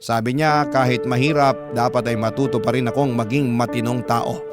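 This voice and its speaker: A man's voice: medium pitch, fairly even intonation, moderate pace. A man with a Filipino accent reading a scripted first-person story as a radio drama narrator, in a reflective storytelling manner. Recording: loud music under the narration.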